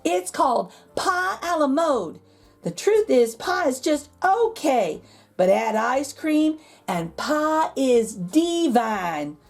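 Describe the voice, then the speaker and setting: A woman voicing an excitable, cheerful and wise aunt in a children's storybook, calling out her lines. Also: sits high, slow, soft background music, voice pushed up, full character voice. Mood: playful, assured. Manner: exclamatory, calling out. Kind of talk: children's storybook read-aloud.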